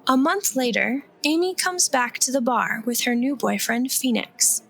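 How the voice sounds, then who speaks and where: A woman's voice: a little high, lively intonation, a little slow, gravelly, a bit bright. A woman reading scripted scene narration for a radio play.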